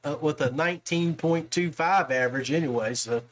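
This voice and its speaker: A man's voice: a little high, brisk. A man hosting a podcast, chatting casually and informally with a guest about his hobby in a folksy way. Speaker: podcast host. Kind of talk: casual interview chat about fishing.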